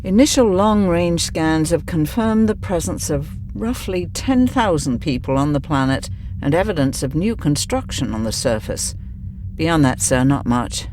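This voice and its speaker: A cautious officer acted by a man in a sci-fi radio play. He has a fairly high male voice and reports formally and precisely over faint ambient noise. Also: lively intonation, a little slow, a little mellow. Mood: cautious, businesslike. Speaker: audio drama actor. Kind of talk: scripted sci-fi audio drama.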